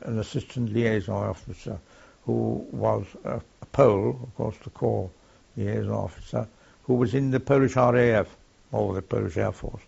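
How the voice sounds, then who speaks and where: An older man with a gravelly, husky voice, recalling his wartime service haltingly, with many pauses and fillers. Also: fairly low pitch, steady tone, dark tone. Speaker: war veteran recounting service. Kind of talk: oral history recollection. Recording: dull lo-fi archive tape.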